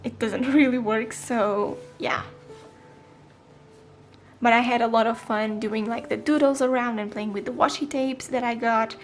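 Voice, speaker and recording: A woman's voice: a little high, fairly even intonation, measured pace, faint music behind. A twenty-year-old woman chatting casually in a voice-over, with frequent ums and small self-corrections. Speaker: planner vlogger. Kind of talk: casual voice-over flip-through.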